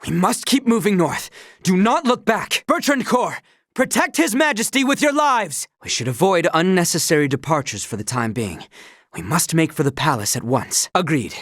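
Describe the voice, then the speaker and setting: A man's voice: high-pitched, very animated, normal speed, fairly bright tone, no room sound, studio quality, heavily acted. A man voicing an earnest, dutiful young royal knight in a video game, with courtly, precise, old-fashioned diction. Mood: urgent. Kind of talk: video game character voice lines.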